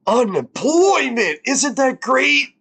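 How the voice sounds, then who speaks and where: A man's voice: very high-pitched, very animated, slow, gravelly, pushed and bright, husky. A man in his twenties on a live stream, reacting casually and rambling with lots of fillers and the odd swear word. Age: 20s.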